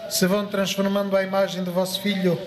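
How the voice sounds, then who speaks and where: A middle-aged male priest prays in a solemn, measured manner. His voice sits high for a man. It carries through a microphone with a strong echo and a long reverberant tail. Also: monotone. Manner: formal, measured recitation. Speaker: priest presiding at prayer. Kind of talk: solemn liturgical prayer and blessing. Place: large open-sided chapel before a crowd. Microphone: gooseneck microphone at lectern, through PA. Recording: very echoey amplified sound, long reverb.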